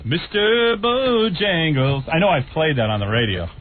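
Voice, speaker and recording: A man's voice: a little high, lively intonation. A man hosting a morning radio show, teasing and joking with callers. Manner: fast, teasing, joking talk. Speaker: radio host. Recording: tinny phone-like radio broadcast.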